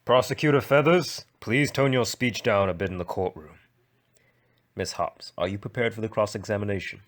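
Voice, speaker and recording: A man playing a calm, authoritative judge in a courtroom audio drama. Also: on the low side, moderate pace, close to an everyday voice. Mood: calm, reproving.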